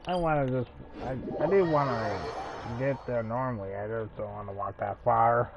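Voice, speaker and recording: A man casually commenting while he plays a game, mumbling to himself in a relaxed way. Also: medium pitch, moderate pace, gravelly, lo-fi sound. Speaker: game streamer. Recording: game music in background.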